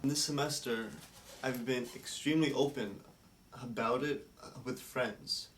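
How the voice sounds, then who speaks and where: A young man who stutters, talking candidly in a therapy session, halting and hesitant with many fillers. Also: mid-range voice, steady tone, roomy sound, recorded from a distance, slight background noise. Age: young adult. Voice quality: stutters, with blocks and repeated words. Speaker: speech therapy client. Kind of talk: candid interview talk.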